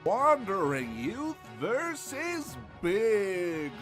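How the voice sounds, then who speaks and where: Man voicing a showy tournament announcer, high voice, big swoops, music behind. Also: big pitch swings, very slow, heavily acted. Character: excitable male tournament announcer. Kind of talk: fan radio drama acting. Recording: music underneath.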